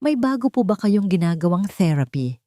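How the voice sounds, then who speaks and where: A woman narrator plays an upbeat, polite kindergarten director in an audio drama. The delivery is enthusiastic, the sound mellow and dry. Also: mid-range voice, normal speed, no echo, voice pushed up, lightly acted. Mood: excited, reporting. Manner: lively, enthusiastic. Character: cheerful, polite female kindergarten director on the phone.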